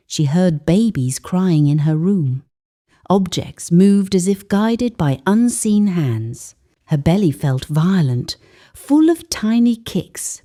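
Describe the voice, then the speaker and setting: A woman's voice: on the low side, mellow. A woman narrating a folktale as a dramatic, scripted storyteller.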